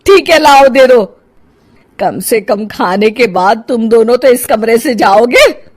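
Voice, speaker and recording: Storybook role: aging, doting, scolding mother, high and plaintive, unhurried woman acting it. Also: animated. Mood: irritated. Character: sad, snappish elderly Indian mother, doting on her son.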